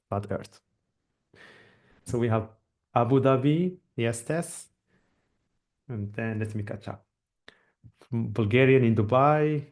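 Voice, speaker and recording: A man's voice: mid-range voice, a little mellow. A man from Morocco hosting a live online webinar, speaking in a friendly, encouraging way with frequent fillers and restarts, through a computer mic. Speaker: webinar host and AI trainer.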